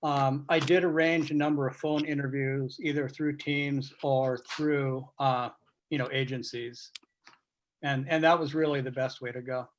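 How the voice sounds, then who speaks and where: A man speaking as a guest sportswriter in an informal panel discussion over a video call, explaining things in a measured way. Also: mid-range voice, little pitch movement, a little hiss or hum, rough recording. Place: virtual meeting on video call.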